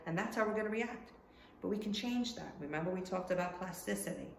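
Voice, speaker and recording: A woman's voice: fairly low pitch, far from the mic, slight background noise. A woman teaching a home lesson to children, who speaks Portuguese as her first language and keeps checking in with her students by asking "right?". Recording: echoey room, voice from off the mic, faint ambience.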